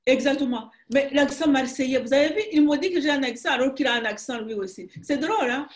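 An older woman with a Rwandan accent speaks in a slightly high voice, halting and emphatic, often restarting her phrases. She talks over a webcam call from a room at home. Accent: Rwandan French. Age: 60s. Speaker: author guest. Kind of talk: conversational interview. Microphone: video call webcam.

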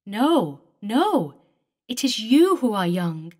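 A gentle young female ghost speaks in a calm, sweet voice with a mournful edge, as a woman reads her. She sounds distant and wistful. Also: on the high side, takes it slowly, heavily acted.

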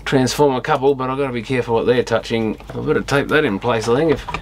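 A man's voice: mid-range voice, fairly even intonation, fast talker, a little hiss or hum. A man giving casual, unscripted commentary as he tinkers with electronics, thinking aloud in fragments and trailing off. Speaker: electronics repairer and video host.

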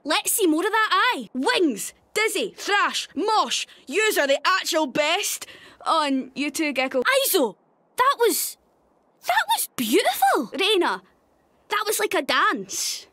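A woman's voice: very high-pitched, sing-song, slow pace, pushed and bright, dry recording, full character voice. A woman with a Scottish accent voicing a cheeky, playful, irreverent young immortal fighter for a video game. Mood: excited, admiring. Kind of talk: video game character voice lines.

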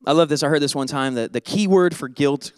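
A man in his thirties preaching a sermon on a church stage into a handheld mic, animated and joking, then dropping to soft earnest tones. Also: on the high side, a little fast, clear, resonant tone, no room sound, studio quality. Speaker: pastor.

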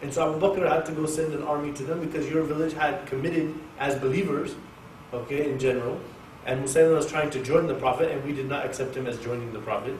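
A man's voice: fairly high pitch, quick pace, few pauses. Male Quran teacher from Oklahoma, casual and joking, picked up from a distance with room echo and light hiss. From Oklahoma, USA. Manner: jokey, animated, talks to the audience. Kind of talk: informal religious class. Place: mosque hall with an audience. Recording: echoey room, distant mic, faint hiss.

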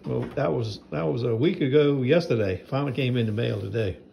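Folksy older man with a gravelly voice. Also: medium pitch, fairly quick, muffled recording, a little hiss or hum. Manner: rambling, folksy, chatty. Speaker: knife sharpener vlogger. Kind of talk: casual home vlog narration. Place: house and yard, handheld phone filming.

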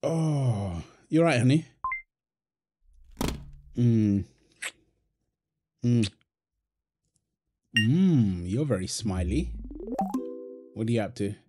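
A man's voice: medium pitch, animated, extremely slow, pauses often, over music. A British man playing an affectionate, playful husband in an intimate, naturalistic audio roleplay, talking casually and teasingly in short repeated phrases. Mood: tender, teasing. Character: affectionate, playful, apologetic husband, gamer with his mates.

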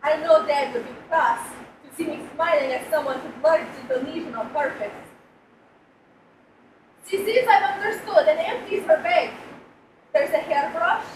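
Casting: woman, very high voice, live dramatic story reading, measured; picked up from afar with clear background noise. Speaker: actor reading a short story. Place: live reading in a brick-walled gallery cafe. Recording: roomy, distant mic, background noise.

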